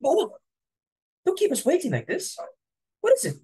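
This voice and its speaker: A woman's voice: high-pitched, wildly swooping pitch, normal speed, dark tone. Woman acting a fussy society hostess, wildly swooping voice. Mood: alarmed, scolding. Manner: haughty, exclamatory, easily flustered. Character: fussy, snobbish society hostess and domineering mother. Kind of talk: amateur dramatic reading of a stage comedy.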